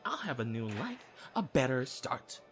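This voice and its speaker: A man's voice: medium pitch, big pitch swings, unhurried, fairly bright tone, breathy edge, heavily acted. A man playing a cocky, crude young prisoner in an audio drama sketch, with a brash, theatrical, sarcastic manner. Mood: boastful, excited.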